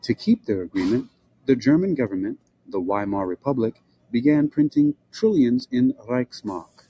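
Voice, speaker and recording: Older guy reading his essay out loud, asks himself questions and answers them, mellow husky voice. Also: mid-range voice, sing-song, no echo, muffled recording. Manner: measured, rhetorical question-and-answer delivery. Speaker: podcast host. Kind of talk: reading a prepared essay aloud.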